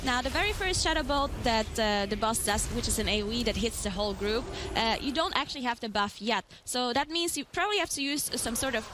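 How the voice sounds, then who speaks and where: Quick female esports analyst, slightly high voice. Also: expressive, background noise. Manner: explanatory. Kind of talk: live analytical game commentary.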